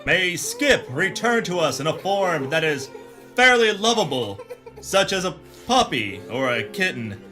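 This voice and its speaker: An actor playing a pompous, hard-boiled hero detective in a melodramatic parody pulp audio drama. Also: male voice, high-pitched, pushed and bright, heavily acted. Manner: bombastic, melodramatic.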